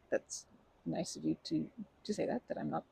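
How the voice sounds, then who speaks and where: Performer playing a timid, stammering, very creaky-voiced stranger. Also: low-pitched, dark tone, a little hiss or hum, exaggerated voice. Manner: halting, stammering, over-apologetic, nervous laughter. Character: timid, anxious, kind park-goer, painfully apologetic. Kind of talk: ASMR roleplay, improvised-sounding monologue to listener.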